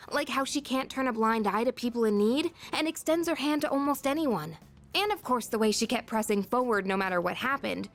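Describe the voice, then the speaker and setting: A friendly teenage schoolgirl in a video game. Her voice is very high and swings expressively up and down. She sounds earnest, gentle and lightly teasing, with music playing behind her. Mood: warm, admiring. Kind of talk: English video game voice acting. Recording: background music under the voice.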